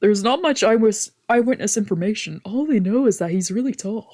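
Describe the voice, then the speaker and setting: A streamer voicing a gossipy, excitable bar worker from a visual novel, with music behind. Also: mid-range voice, a little fast, no echo, clean studio sound. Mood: gossipy, concerned. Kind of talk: visual novel character read-aloud.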